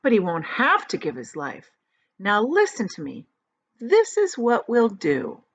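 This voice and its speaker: A woman's voice: mid-range voice, huge pitch swings, a little slow, full character voice. A woman voicing a brave young boy who leads his friends with urgent orders in a storybook. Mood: firm.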